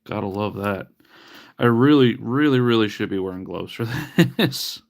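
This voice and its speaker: Male streamer, low and gravelly, wry casual patter, a little stuffy-nosed. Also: animated, a little slow. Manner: chatty, wry, mock-disgusted asides. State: sniffly from allergies. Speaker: livestreamer repairing retro handhelds. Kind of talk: casual live-stream chat while working.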